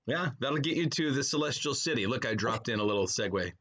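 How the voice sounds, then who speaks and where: A man's voice: mid-range voice, animated, quick pace, a bit bright. An American man chatting casually as a podcast guest, with a wry, joking manner. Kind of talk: casual chat.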